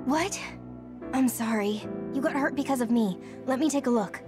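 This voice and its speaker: A woman's voice: high-pitched, normal speed, lightly acted. An actress playing a frank, caring and apologetic female soldier in a video game. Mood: apologetic, concerned. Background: music.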